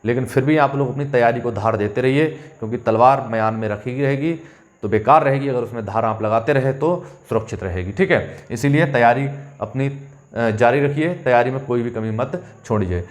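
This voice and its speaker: Man, mid-pitched voice, coaching teacher, informal explainer, talks straight to students. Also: moderate pace. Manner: addresses students directly, checking in with "okay". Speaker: coaching teacher and channel host. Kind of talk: informal explanatory exam news update.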